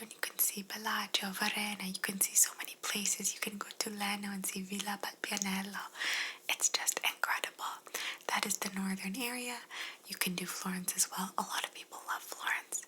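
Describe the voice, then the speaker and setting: A young Canadian woman whispering softly through an ASMR question-and-answer chat. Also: medium pitch, low-quality recording. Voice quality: soft unvoiced whisper. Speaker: whispering Q&A host.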